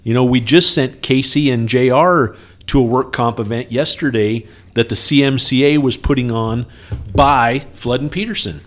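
A man's voice: mid-range voice, normal speed. A man with a mid-range voice speaks as a co-host on a workplace trucking podcast. He explains things earnestly and conversationally, often looking for agreement as he goes. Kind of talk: casual workplace talk with anecdotes.

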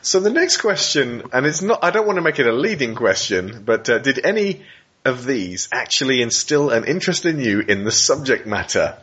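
A man's voice: mid-range voice, very animated, normal speed, a bit bright, breathy edge, lo-fi sound. A man conducting a casual interview in a hesitant, thoughtful, wordy way.

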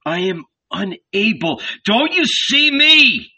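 A man's voice: sits high, very animated, takes it slowly, sharp tone, husky, voice pushed up, full character voice. A man voicing a male hermit who pleads and cries out to God in anguish. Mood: despairing. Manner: pleading, rising to tearful cries.

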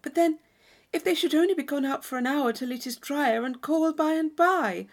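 A woman's voice: sits high, moderate pace, voice pushed up. A woman voicing a naive, earnest young lady in an audiobook, eager and anxious, with a wondering, pleading manner. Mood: hesitant, anxious.

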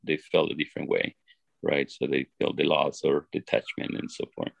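Gravelly man with an Ecuadorian Spanish accent. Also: very deep voice, no echo, dull audio. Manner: earnest, halting, with frequent restarts. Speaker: interview guest. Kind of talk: reflective personal storytelling.

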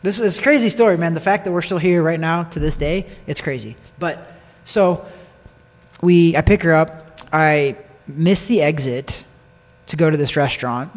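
A man's voice: a little high, moderate pace. An American man telling a personal story in casual conversation, repeating phrases for emphasis. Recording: long echoing reverb.

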